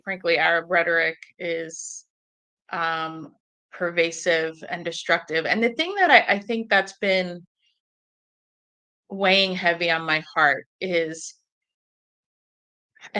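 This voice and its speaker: A woman's voice: on the low side, slow, pauses often, bright, forceful tone. A woman from Egypt speaking as a panelist, impassioned and searching for words. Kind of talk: panel discussion.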